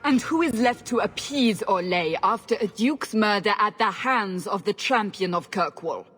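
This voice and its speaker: An actress playing a stern, skeptical interrogator with a pointed manner in a video game. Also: female voice, mid-range voice, expressive, normal speed, fairly bright tone, slightly rough, faint music behind. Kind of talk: video game cutscene acting.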